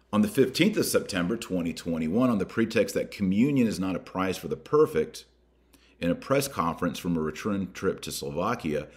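A man hosting a podcast, reading a document aloud with emphatic, deliberate delivery and adding his own commentary. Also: sits low. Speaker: podcast host.

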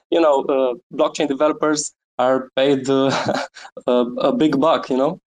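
A man from Romania, a guest on a live audio chat, talking about his project with many pauses, fillers and small laughs. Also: medium pitch, steady tone, rough recording. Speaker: guest NFT creator. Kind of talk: casual chat on a Twitter space.

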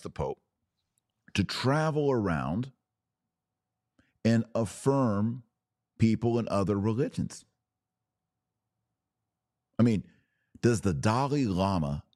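A man hosting a podcast, giving religious commentary in a deliberate way with pauses and emphatic phrasing. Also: fairly low pitch, darkish tone, no echo, studio quality. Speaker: podcast host.

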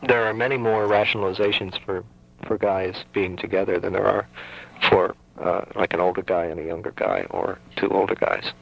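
A man hosting a late-night radio call-in show, musing slowly and hesitantly with many ums. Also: sits low, flat delivery, creaky. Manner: thoughtful, hesitant, lots of ums. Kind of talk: casual call-in radio chat. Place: radio studio. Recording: dull lo-fi radio broadcast.

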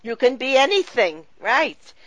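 A woman hosting a spiritual talk show, speaking in a gentle, reflective and earnest way. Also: high voice, very animated, measured pace, no echo, rough recording. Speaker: show host.

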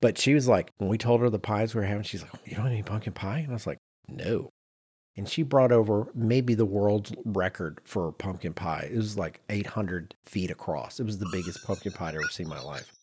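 A man co-hosting a podcast in casual banter, telling anecdotes in an animated way and acting out quotes. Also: fairly low pitch, a little fast, husky. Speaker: podcast co-host.

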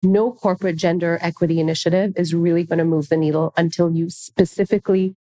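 A woman's voice: fairly low pitch, fairly even intonation, quick pace, dark tone, rough recording. A woman speaking on a panel, making her case through pointed rhetorical questions. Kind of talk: panel discussion.

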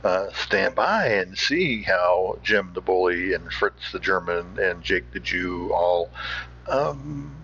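A low, gravelly American man hosts in a casual, folksy manner. He rambles haltingly through his opinions, with a forceful edge, on a slightly muffled recording. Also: expressive, a little hiss or hum. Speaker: host.

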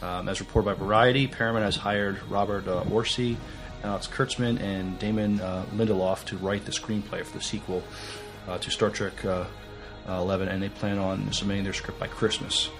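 Halting, low-voiced male podcast co-host, music behind. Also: fairly even intonation. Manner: halting, hesitant delivery. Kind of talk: casual news chat.

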